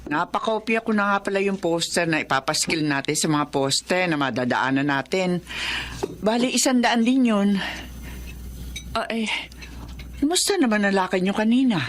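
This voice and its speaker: A woman's voice: medium pitch, expressive, moderate pace, pauses often, natural voice. Woman acting a motherly, coaxing radio-drama aunt. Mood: welcoming, caring. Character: caring, encouraging aunt, hospitable family peacemaker.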